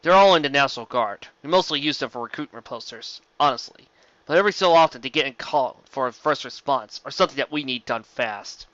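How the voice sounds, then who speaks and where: A man's voice: medium pitch, expressive, fairly quick, fairly bright tone, no echo, lo-fi sound, natural voice. A man voicing a confident, wry male unicorn guard pony, casual and dryly joking, in a story reading.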